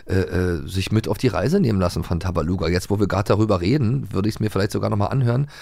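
A man's voice: fairly low pitch, fast talker, vocal fry, a little mellow, no room sound. A man from the former East Germany co-hosting a podcast, chatting casually and playfully with lots of fillers and restarts. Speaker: podcast host. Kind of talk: casual chat.

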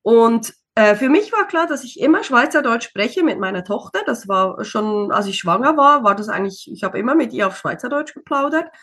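Woman, 30s, interview guest; relaxed chatter, light laughs, slightly raised pitch. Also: fairly quick, few pauses, husky. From Switzerland. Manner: chatty, many fillers, laughs easily. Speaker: interview guest, single mother. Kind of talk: casual conversational interview.

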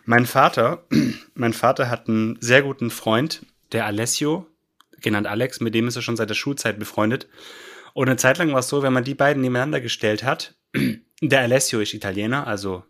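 A man in his thirties who officiates weddings, talking in a relaxed, rambling way on a podcast. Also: medium pitch, brisk. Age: 30s. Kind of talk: casual chat.

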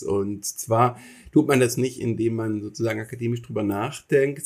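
A man with a husky, slightly rough voice at medium pitch, answering as an interview guest. He explains in a casual, reflective way, feeling for the right words. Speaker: interview guest, theatre maker.

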